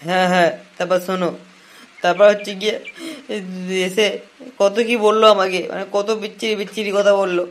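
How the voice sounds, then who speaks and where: A man voicing a high-pitched whiny wronged young lover. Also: little pitch movement, close to an everyday voice. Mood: rueful, complaining. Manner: whining, sarcastic, drawn-out lamenting. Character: wronged young lovelorn man, foul-mouthed, whiny, jailed. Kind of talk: comic cartoon dialogue in colloquial Bengali slang.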